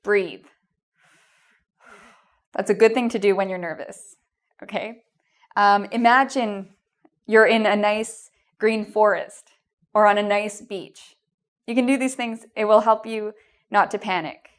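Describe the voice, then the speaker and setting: A woman's voice: mid-range voice, steady tone, unhurried, pauses often. A woman with a Canadian accent giving an English exam lesson, encouraging and reassuring, with frequent "um" and "okay?" checks. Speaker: English teacher. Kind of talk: video lesson with tips.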